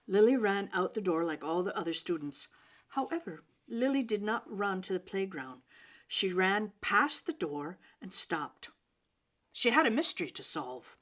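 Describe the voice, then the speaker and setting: Expressive woman reading a children's story. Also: mid-range voice. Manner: dramatic, with pauses and emphasis.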